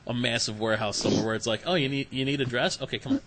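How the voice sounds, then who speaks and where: A man hosts a casual podcast, agreeing easily and chatting along. His voice is mid-pitched, with a faint noise behind it. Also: expressive, rapid-fire. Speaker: podcast host.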